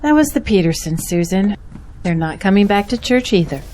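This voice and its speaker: A devout, hospitable churchwoman played by an actress in an audio drama. She speaks gently and sincerely in a medium-pitched voice. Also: lively intonation, normal speed, a little hiss or hum, natural voice. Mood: somber.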